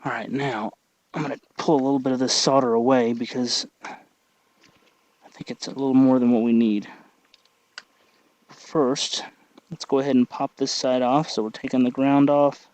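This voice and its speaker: A man narrating a casual hands-on tutorial, thinking aloud in a halting way as he works. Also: mid-range voice, little pitch movement, a little mellow, dry recording. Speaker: tutorial host.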